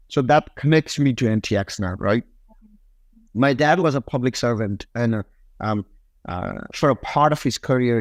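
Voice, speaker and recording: A man's voice: medium pitch, no room sound. A man from Nepal with a Nepali accent, a company head telling his story as a podcast guest, with lots of fillers and a mix of English and Nepali. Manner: rapid, many fillers, switches between English and Nepali.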